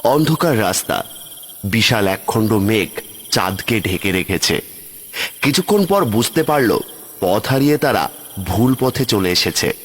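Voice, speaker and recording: A man's voice: lowish voice, very animated, measured pace, long pauses, breathy edge, big-room sound. A man narrating a cartoon ghost story in a dramatic, scripted storytelling style.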